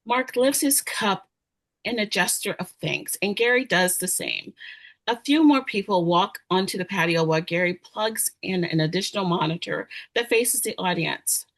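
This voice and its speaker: A woman's voice: medium pitch, animated, moderate pace, a bit bright. Woman reading screenplay stage directions. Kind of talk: screenplay table read narration.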